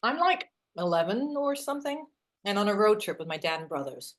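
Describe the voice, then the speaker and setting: Actress as a wry, expressive woman hiker. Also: medium pitch, brisk, a little hiss or hum, lightly acted. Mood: nostalgic, wry. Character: wry, teasing adult woman hiker, self-deprecating best friend. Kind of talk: naturalistic two-hander drama acting.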